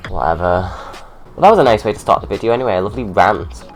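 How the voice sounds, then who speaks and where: A man's voice: fairly low pitch, animated, quick pace, faint music behind. A young man with a stuffy cold, chatting sarcastically through a drag makeup tutorial at home. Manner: chatty, sarcastic, ranting asides.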